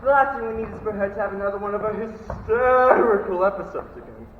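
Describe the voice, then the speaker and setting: A young man playing a blustering upper-class gentleman in a melodramatic murder mystery farce, declaiming his lines. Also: sits high, fast talker, natural voice. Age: teen or young adult. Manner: declamatory, exclaiming. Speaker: student actor. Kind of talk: melodramatic stage farce acting. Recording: echoey noisy hall, distant muffled mic.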